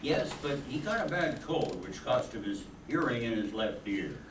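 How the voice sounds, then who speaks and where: Older man as animated, echoey senior angel. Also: mid-range voice, normal speed, natural voice. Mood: storytelling. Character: kindly senior male angel, storytelling and in charge. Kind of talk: old-time radio play acting, narrating. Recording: echoey, distant, noisy stage recording.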